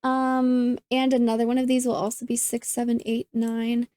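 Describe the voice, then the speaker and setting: A woman hosting a puzzle channel, slightly hoarse as she loses her voice, thinking aloud and easily delighted while she solves. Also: on the high side, flat delivery, normal speed, dark tone.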